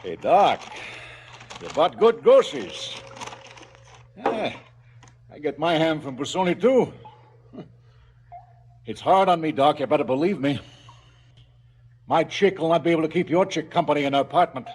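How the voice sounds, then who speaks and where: An actor playing a cynical, brooding crime boss in a stylised radio drama, sardonic and businesslike about killing. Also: male voice, a little high, animated, moderate pace, pauses often, darkish tone, husky. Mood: cold, wistful menace.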